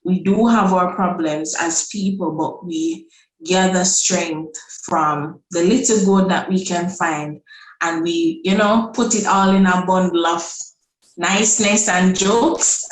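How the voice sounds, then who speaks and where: A woman's voice: on the low side, steady tone, slow. A woman from Jamaica, a guest on a podcast, talking in an easygoing, storytelling way. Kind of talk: casual podcast conversation. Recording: echoey room.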